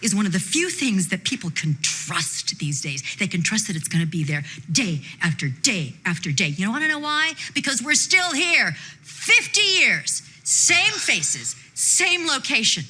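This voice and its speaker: A proud, defiant veteran of television speaks in a dramatic screen monologue. Her voice is husky and very forceful. The pitch moves widely and animatedly. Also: sing-song, a little slow, heavily acted. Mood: proud, impassioned. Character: proud, defiant veteran female TV show star.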